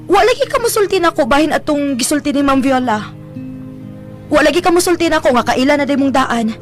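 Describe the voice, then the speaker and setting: An actress playing a nosy, playful young adult daughter in a radio drama, with a Visayan Philippine accent and a prodding, giggly manner. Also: female voice, high voice, expressive, a little fast, faint music behind. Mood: curious, prodding.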